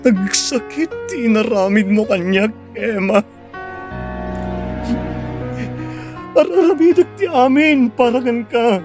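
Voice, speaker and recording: A man's voice: very high-pitched, measured pace, music in the background. An actor with an Ilocano accent playing a heartbroken, betrayed young man in a radio drama, emotional and reproachful. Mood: anguished, betrayed.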